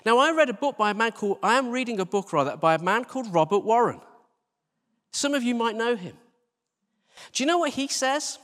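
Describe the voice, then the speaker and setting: A man with a British accent and a high-set voice preaches informally in a very echoey hall. He talks to the congregation conversationally, pokes fun at himself, and leans on repeated phrases and rhetorical questions. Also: lively intonation, fairly quick, pauses often, a bit bright. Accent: British English. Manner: conversational, self-deprecating, with rhetorical questions and repetition. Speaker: church team leader preaching. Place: large reverberant indoor church space. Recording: live church hall, long reverberation.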